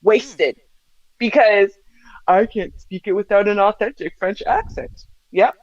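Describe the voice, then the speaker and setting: A woman's voice: mid-range voice, lively intonation, a little slow, no echo. Chatty self-mocking woman, muffled sound. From Canada, Jamaican family. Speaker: regular guest co-host. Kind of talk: casual livestream chat.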